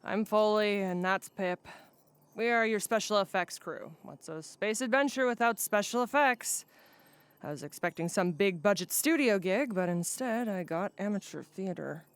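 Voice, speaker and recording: A woman's voice: mid-range voice, lively intonation, moderate pace, clean tone, dry recording, close to an everyday voice. A woman playing a blunt, businesslike starship crew member in a sci-fi comedy audio drama. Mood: dry annoyance. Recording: noisy ambience behind the voice.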